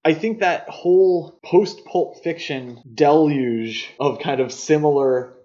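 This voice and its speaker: A man co-hosting a podcast, discussing films conversationally in a measured, analytical and opinionated way. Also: on the high side, warm tone, lo-fi sound. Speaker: podcast co-host.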